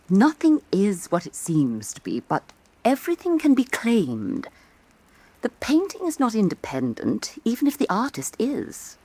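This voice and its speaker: An actress playing a composed, shrewd art critic in a radio drama, speaking in an articulate, persuasive way. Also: female voice, fairly low pitch, a little mellow, no echo.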